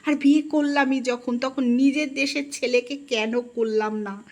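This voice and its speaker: A woman reads a first-person literary story in an expressive, melancholic and dramatic manner. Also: high voice, unhurried. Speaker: audiobook narrator.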